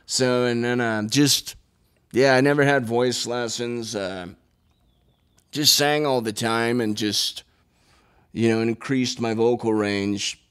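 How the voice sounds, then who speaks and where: A young man with a raspy, hoarse voice, a guitarist chatting casually and laid-back with many fillers and laughs, on a studio mic in a small room. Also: medium pitch, steady tone, slow pace, fairly bright tone. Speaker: guitarist guest. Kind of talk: casual interview chat. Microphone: studio mic on stand.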